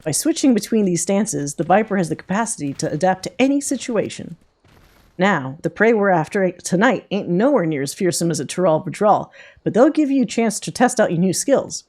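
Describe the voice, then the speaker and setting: A woman's voice: lowish voice, big pitch swings, a little fast, darkish tone. A woman playing a folksy, confident monster-hunter mentor. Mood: instructive. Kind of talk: reading game dialogue in character.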